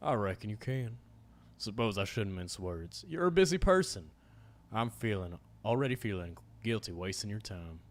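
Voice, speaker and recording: A man's voice: fairly low pitch, animated, natural voice. A man doing a drawling cowboy villain. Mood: taunting. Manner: slow taunting drawl.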